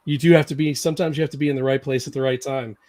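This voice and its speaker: A man's voice: mid-range voice, steady tone, very fast, darkish tone. A middle-aged man hosting a casual live-stream chat, talking emphatically and laughing often. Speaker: host.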